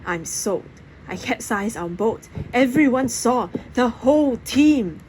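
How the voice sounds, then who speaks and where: A woman's voice: on the high side, lively intonation, slow pace, darkish tone, slight background noise, rough recording. A woman reading a first-person story aloud, expressively and dramatically. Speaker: audiobook reader.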